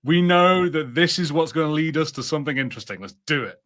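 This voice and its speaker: A man co-hosting a podcast, chatting casually with halting starts and acted-out imagined lines. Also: on the high side, expressive, a bit bright. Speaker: podcast co-host. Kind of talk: casual review chat.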